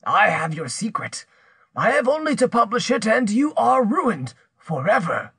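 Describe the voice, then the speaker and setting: A vengeful older uncle out of a storybook melodrama acted out by a male narrator. His voice is pushed up high and swings widely as he threatens and accuses with vehement menace. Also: big pitch swings, a little slow, gravelly, sharp tone, husky, no echo, clean studio sound, exaggerated voice. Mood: menacing.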